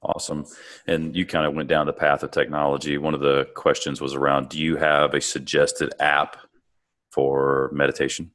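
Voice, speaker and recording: A man's voice: very deep voice, completely flat delivery, moderate pace, a bit bright. A man hosting a webinar, upbeat and reading out audience questions to his guest. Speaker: webinar host, moderator. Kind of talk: moderating a webinar Q&A.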